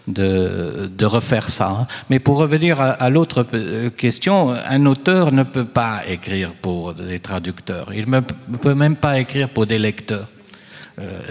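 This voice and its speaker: Italian-born man talking in a reverberant hall. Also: on the low side. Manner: chatty, anecdotal, frequent tag "hein". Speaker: panelist, writer. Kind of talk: round-table discussion.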